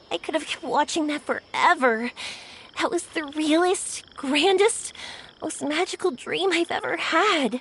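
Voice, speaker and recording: A woman's voice: high-pitched, a bit bright, slight background noise, full character voice. A bubbly, gushing young fireworks girl. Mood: wistful. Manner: gushing, laughs easily. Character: cheerful young fireworks-loving woman, warm and excitable.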